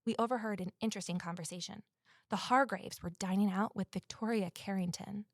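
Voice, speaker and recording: An actress playing an earnest woman amateur investigator in an audio drama, talking in a gentle, sincere, conversational way. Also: medium pitch, steady tone, a little fast, dry recording, clean studio sound, lightly acted. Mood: matter-of-fact, confiding. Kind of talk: audio drama dialogue and first-person narration.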